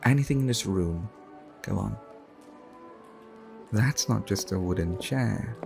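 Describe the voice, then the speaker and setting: A man's voice: fairly low pitch, lively intonation, vocal fry, exaggerated voice. A man playing a suave, brooding vampire artist in an intimate ASMR roleplay, speaking formally and theatrically as he tells stories to the listener. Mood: courteous, inviting. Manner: slow, formal, theatrical storytelling. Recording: music behind the voice. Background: background music.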